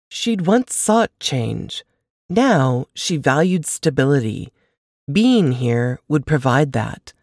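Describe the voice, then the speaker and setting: A woman reading a novel aloud as scripted narration, with expressive, measured storytelling delivery. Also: sits low, dry recording, clean studio sound. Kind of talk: scripted audiobook-style fiction narration.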